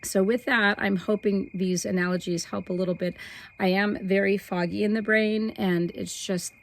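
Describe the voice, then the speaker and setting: A middle-aged woman with a slightly hoarse voice, hosting a weekly spiritual forecast in a soft, meandering way that stretches key words. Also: mid-range voice, fairly even intonation, moderate pace. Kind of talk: casual spiritual talk to camera.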